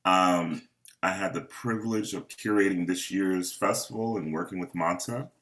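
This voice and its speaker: A man hosting and moderating a panel of composers over a video call, speaking in an unhurried, earnest way. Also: low-pitched, a bit bright. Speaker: host and festival curator.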